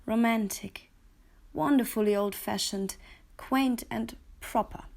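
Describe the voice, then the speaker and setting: A woman's voice: on the high side, gravelly, a little mellow. Woman narrator with a German accent. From Munich, Bavaria, Germany. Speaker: voice-over artist, reader. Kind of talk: scripted narration, reading aloud with commentary.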